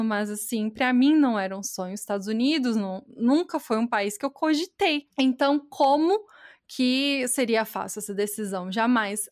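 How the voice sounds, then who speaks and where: A woman telling her story in a casual conversation, speaking softly and often trailing off with little tag questions. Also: a little high, no room sound, studio quality.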